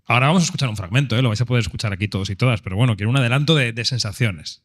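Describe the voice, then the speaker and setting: A man's voice: medium pitch, rapid-fire. Spain Spanish man moderating a panel. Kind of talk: hosting a panel discussion.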